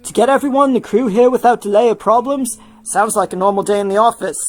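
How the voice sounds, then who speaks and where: A woman's voice: fairly high pitch, fast talker, darkish tone, close to an everyday voice. A woman voicing an easygoing young male rock star in a story reading.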